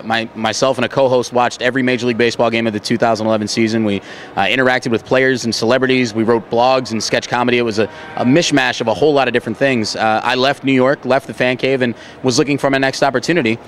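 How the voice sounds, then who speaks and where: A man's voice: medium pitch, steady tone, fast. A young American man with a trained theater voice, answering interview questions conversationally as a stadium announcer, outdoors at a ballpark. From Baltimore, United States. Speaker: stadium public address announcer, interview guest. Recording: faint outdoor urban background noise.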